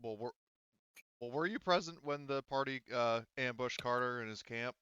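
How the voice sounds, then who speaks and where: A man running a tabletop game as game master, explaining casually in a halting, stop-and-start way. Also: mid-range voice, sharp tone, clean tone, dry recording, lo-fi sound.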